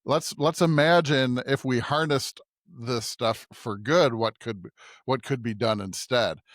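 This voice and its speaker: Halting male podcast co-host, dry sound. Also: mid-range voice, dry recording. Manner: halting, repeats words, seeks agreement. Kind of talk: casual discussion.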